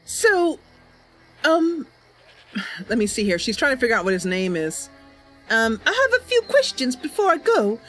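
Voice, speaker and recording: A woman's voice: high voice, very animated, moderate pace, pauses often, fairly bright tone, soft background music, low-quality recording. A bubbly, chatty woman livestreamer talking casually and improvising roleplay as she plays a game. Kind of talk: casual live stream chat with improvised roleplay.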